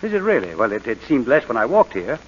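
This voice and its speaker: Fast-talking suave crook. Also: male voice, mid-range voice, big pitch swings, slightly rough. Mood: obsequious, guarded. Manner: suave, formal, calculating. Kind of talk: 1940s radio acting. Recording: noisy muffled vintage 1940s radio recording.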